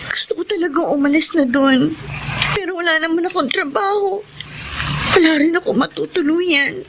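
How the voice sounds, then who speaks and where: An actress playing a humble, betrayed young housemaid in a Filipino radio drama, emotive and pleading. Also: female voice, very high voice, normal speed, background noise. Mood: hurt, sorrowful. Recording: music behind.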